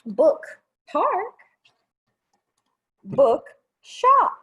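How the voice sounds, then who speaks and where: A woman's voice: extremely high pitch, wildly swooping pitch, drawn-out words, fairly bright tone. A woman presenting a children's storytime, reading aloud expressively and explaining things simply for young listeners.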